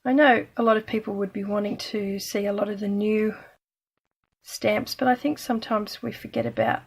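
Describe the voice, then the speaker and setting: A middle-aged woman from Australia, slightly croaky today, chatting casually and self-deprecatingly while she demonstrates card-making. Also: mid-range voice, lively intonation, moderate pace. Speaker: craft demonstrator.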